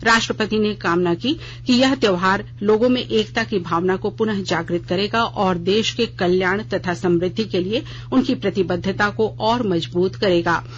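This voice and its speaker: A news reader delivering a scripted radio bulletin in a formal, measured way. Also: medium pitch. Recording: dull lo-fi radio audio with noise and hum.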